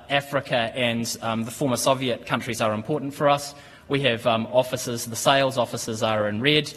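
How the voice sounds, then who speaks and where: A man in his thirties with a New Zealand accent gives a conference presentation at a lectern, speaking in a measured, steady way with frequent ums and restarts. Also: medium pitch. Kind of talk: business presentation. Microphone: lectern microphone. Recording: conference hall with long reverb.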